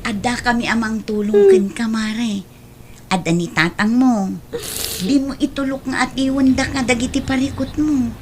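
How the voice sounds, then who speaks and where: Radio comedy drama role: frank, admonishing neighbour, played by a woman, fairly high voice over faint noise. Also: normal speed, lightly acted. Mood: concerned, admonishing. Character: outspoken neighbour woman, scolding yet concerned. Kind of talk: radio-style Ilocano comedy drama acting.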